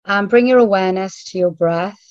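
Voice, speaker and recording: A woman leading a guided meditation in a hushed, soothing manner, leaving long pauses between short phrases. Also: mid-range voice. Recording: roomy, phone-like narrowband audio.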